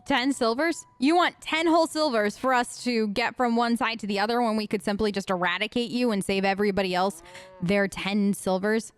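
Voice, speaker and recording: A woman's voice: medium pitch, a little fast, smooth, no echo. A woman playing a video game and chatting casually in character, in a gushing, playful manner. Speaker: let's play host, role-playing her character.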